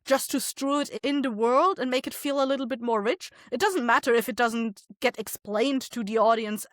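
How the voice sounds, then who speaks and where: Higher-voiced woman chatting as a podcast guest. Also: dry recording, clean studio sound. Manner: informal, explanatory, halting as she thinks. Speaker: podcast guest, audio drama writer, director and voice actor. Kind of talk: casual interview conversation.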